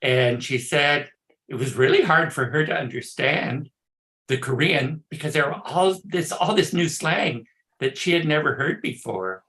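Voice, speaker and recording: Older man, bright voice with gravelly edge. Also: a little high, unhurried. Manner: relaxed, chatty, laughs easily. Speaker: professor, guest speaker. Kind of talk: casual chat before a talk.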